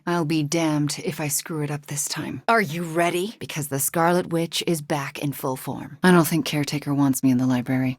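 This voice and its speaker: Dry close recording, young sorceress heroine, slightly low pitch, measured, earnest, sardonic, video game character. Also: female voice, dry recording. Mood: defiant, determined. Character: young adult female sorceress hero, earnest, wry, haunted. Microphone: close, dry studio recording.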